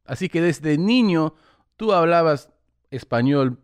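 A man's voice: fairly high pitch, expressive, slow, clear, resonant tone, dry recording. A man hosting a casual video-call interview, asking friendly questions and laughing often. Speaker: host. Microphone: computer mic on a video call.

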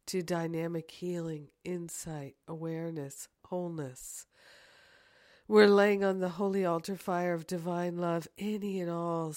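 A woman with a hoarse, croaky voice from a cold, leading a slow, earnest guided prayer full of affirmations. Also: on the low side, dry recording, studio quality. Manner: soft, slow and earnest, repeating "grateful and thankful". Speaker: spiritual teacher and podcast host.

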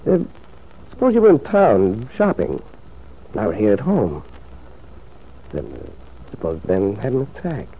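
An actor playing a smooth, scheming male lover in a radio drama, suave and coaxing in manner. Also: lowish voice, wildly swooping pitch, unhurried, pauses often, heavily acted. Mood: insinuating. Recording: muffled old radio drama recording.

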